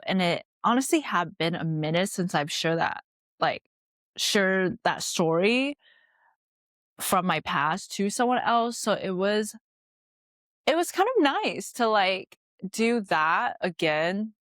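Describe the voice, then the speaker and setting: A woman's voice: lowish voice, lively intonation, slow, a bit bright, no echo, clean studio sound. A woman in her late twenties from Taiwan, hosting a podcast and telling her life story in a chatty, reflective way full of "like" fillers. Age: late 20s. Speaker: podcast host. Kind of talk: casual personal storytelling.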